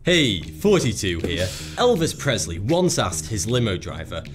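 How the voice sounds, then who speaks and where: A man narrates an explainer script in a sarcastic, wry tone. His pitch rises and falls in a lively way, and faint music runs under the voice. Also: measured pace.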